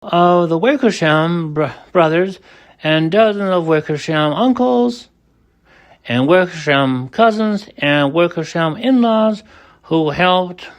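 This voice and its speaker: An amateur narrator reads a children's story aloud with theatrical animation. The pace is very slow and halting, and the pitch moves up and down a great deal. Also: animated. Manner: halting, stumbling over words. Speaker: amateur audiobook reader.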